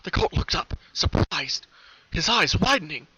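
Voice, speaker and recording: A man's voice: a little high, very animated, measured pace, noisy background. A man narrating a story as a dramatic reading. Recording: dull, lo-fi home recording.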